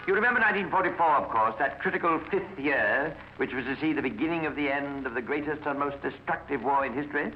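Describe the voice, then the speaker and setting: British man, crime narrator, medium pitch, formal, precise, 1950s radio style, dull recording, faint hiss. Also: animated, fast, few pauses. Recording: muffled, noisy old radio recording.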